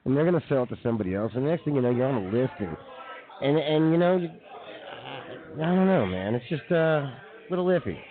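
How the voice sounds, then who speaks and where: A man's voice: medium pitch, lively intonation, quick pace, crowd noise. A man co-hosting a comedy radio show, chatting in a casual, slangy, rambling way. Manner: laid-back slangy, often says dude and man. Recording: tinny narrow phone-like sound.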